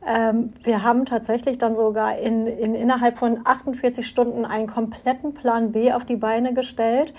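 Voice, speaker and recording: Woman interviewer, somewhat high, mellow, flat and composed. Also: normal speed, few pauses. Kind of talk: conversational interview.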